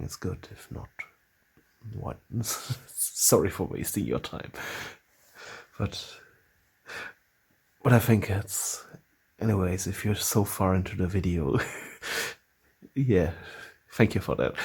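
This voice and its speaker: A man with a German accent talks casually to camera on his own channel. His voice is fairly low and very husky, with a gravelly fry running through it. He speaks haltingly and often stops to restart a phrase as he reaches for the right words. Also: darkish tone. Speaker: channel host.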